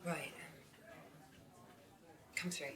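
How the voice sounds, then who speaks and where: A woman's voice: extremely slow, a crowd in the background. An actress playing a guarded, curt pub landlady in a filmed mystery game. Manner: guarded, evasive.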